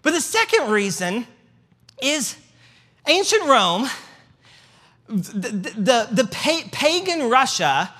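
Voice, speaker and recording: A man with a Midwestern accent lectures to a room in a loose, joking way, full of asides and fillers. His voice is bright and forward. A long hall reverb trails each phrase. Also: extremely high pitch, sing-song, slow, pauses often. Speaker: church history speaker. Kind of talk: lecture with slides.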